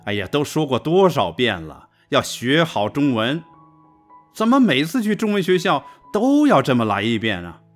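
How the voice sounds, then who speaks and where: A man's voice: mid-range voice, big pitch swings, moderate pace, lightly acted. Guy acting middle-aged professor dad, bit grumbly but kind, swingy voice, music under it. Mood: exasperated. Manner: exclamatory, colloquial. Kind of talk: radio drama acting.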